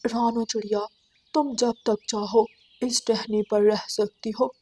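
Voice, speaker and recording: Woman as a soft-spoken, kindly old magic tree. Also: a little high, flat delivery, slow, breathy edge, dry recording. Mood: kindly, reassuring. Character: grateful old male magical talking tree.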